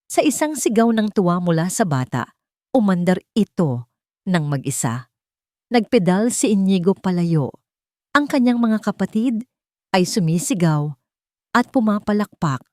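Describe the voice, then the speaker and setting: A woman's voice: medium pitch, warm tone, dry recording, studio quality. A woman reading a scripted dramatic story as a storyteller. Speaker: storyteller, audio drama narrator.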